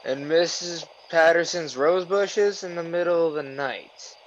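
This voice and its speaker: A man's voice: a little high, unhurried, dull audio, slight background noise, natural voice. A man playing a bumbling, easily flustered small-town policeman in an old-time radio comedy sketch. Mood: curious. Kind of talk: 1930s radio comedy acting.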